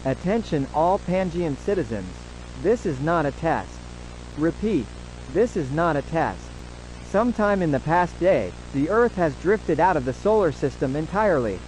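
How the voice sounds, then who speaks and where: A man playing a formal, solemn government announcer, reading a scripted emergency broadcast in a measured way. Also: fairly high pitch, sing-song, a little mellow, slight background noise, poor audio. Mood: grave, resigned.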